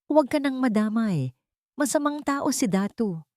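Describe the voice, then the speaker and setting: A woman's voice: fairly high pitch, animated, normal speed, a little mellow, no room sound, clean studio sound, pitched above the performer's own voice, natural voice. A woman playing a frail, frightened old mother, pleading and bitter, in an audio drama.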